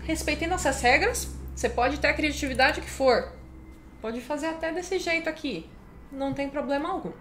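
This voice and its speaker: A woman's voice: sits high, normal speed, fairly bright tone, slight background noise. A woman in her thirties with a Brazilian Portuguese accent, hosting her own channel and explaining things casually to camera from a home office, chatty and full of little "right?" tags. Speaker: channel host.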